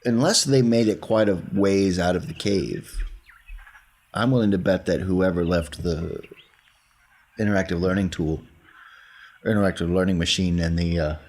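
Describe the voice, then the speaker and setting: A man's voice: low-pitched, normal speed, long pauses, darkish tone, slight background noise. A man talking conversationally as a player in a tabletop game.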